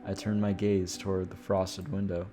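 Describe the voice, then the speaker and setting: A man's voice: low-pitched, level tone, moderate pace, music in the background. A man streaming a visual novel, reading the narration aloud with informal, casual asides.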